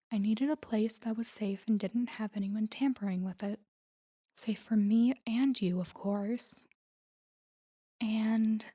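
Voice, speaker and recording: A woman performs a female crime boss confiding in a lover in an intimate audio drama. The voice is warm and relaxed with a dark, mellow tone, often sinking close to a whisper. The delivery is measured and earnest, guarded but tender. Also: medium pitch, close to an everyday voice. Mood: soft, reassuring. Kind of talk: intimate scripted audio roleplay addressed to the listener.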